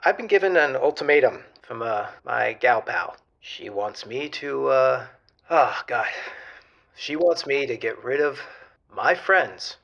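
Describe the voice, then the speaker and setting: A man playing a sheepish gamer who calls in for advice, speaking in a hesitant, halting way. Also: mid-range voice, animated, gravelly, fairly bright tone, dull audio. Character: sheepish male gamer with a girlfriend ultimatum. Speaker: caller.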